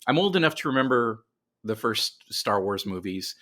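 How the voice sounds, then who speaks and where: A man's voice: medium pitch, big pitch swings, moderate pace, sharp tone. A middle-aged man telling stories casually as a guest in a podcast interview.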